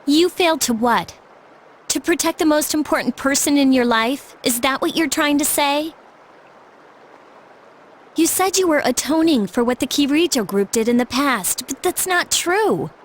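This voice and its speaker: A woman's voice: high voice, lively intonation, normal speed, slight background noise. A young American woman voicing an earnest, resolute teenage schoolgirl in an anime game. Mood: challenging. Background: music.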